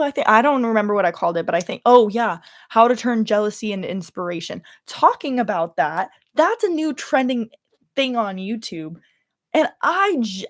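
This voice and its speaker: A woman's voice: medium pitch, no echo, clean studio sound. A young adult woman with a Californian, slightly Valley Girl accent, chatting casually on a livestream in a rambling, self-interrupting way peppered with filler words. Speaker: livestream host and YouTuber.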